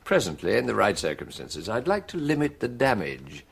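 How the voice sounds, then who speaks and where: An actor playing a calm, shrewd middle-aged police chief with a British accent and a measured, dry, authoritative manner in a radio drama. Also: male voice, on the low side, creaky, a little hiss or hum. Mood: confident.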